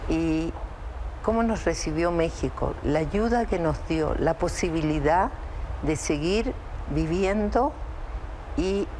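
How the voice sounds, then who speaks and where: An older woman from Chile, interviewed as an evacuee from Israel, speaking in a measured, reflective way with hesitant restarts. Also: low-pitched, expressive, warm tone, a little hiss or hum, poor audio. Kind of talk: emotional interview testimony.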